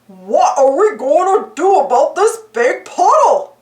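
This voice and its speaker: A woman's voice: very high voice, big pitch swings, unhurried, a little mellow, roomy sound, full character voice. A woman voicing a bumbling, good-natured male cartoon dog in a children's storybook. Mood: puzzled, concerned.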